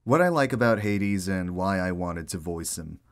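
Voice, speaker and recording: Casual, low-voiced man, informal and easygoing. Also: animated, moderate pace. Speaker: voice actor. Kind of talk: casual Q&A talk.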